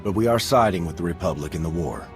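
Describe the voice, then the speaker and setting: A veteran war commander from a video game. His voice is very low and expressive, earnest and resolute, over prominent music. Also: quick pace. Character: steadfast gruff male military commander, veteran war hero. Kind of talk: video game cutscene acting.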